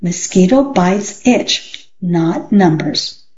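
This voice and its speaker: A woman's voice: lowish voice, lively intonation, very slow, darkish tone, reverberant, lo-fi sound, slight background noise. A woman voicing a firm, no-nonsense schoolteacher of young children in a storybook reading. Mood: brisk, firm.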